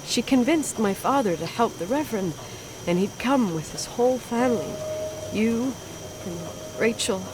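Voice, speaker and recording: An actress playing a distraught grown woman haunted by a repressed memory, speaking in a hushed, halting, anguished way in a dramatic video game. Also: on the high side, animated, close to an everyday voice. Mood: grave, shaken. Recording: clearly noisy ambience behind the voice.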